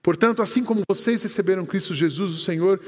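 A man's voice: high-pitched, fast. A Brazilian man preaching a sermon as pastor, telling a story and quoting the people in it. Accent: Brazilian Portuguese. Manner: lively storytelling, quoting others in conversation. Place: church hall. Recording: long church hall reverb.

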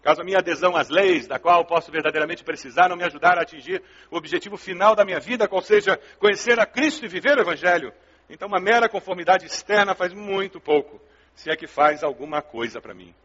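A man's voice: high-pitched, fast talker, fairly bright tone, slightly rough. A man with a Brazilian Portuguese accent preaching a sermon as a pastor, in an emphatic, didactic manner, in a large hall. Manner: emphatic, didactic, with rhetorical questions and repetition. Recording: dull, reverberant large-hall recording.

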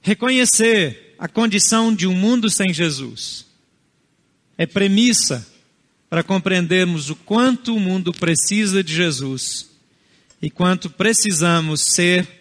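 A Brazilian man who first spoke another language at home, a pastor preaching an earnest sermon in short, measured phrases. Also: high-pitched, expressive, fairly bright tone, long reverb tail. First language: not Portuguese.

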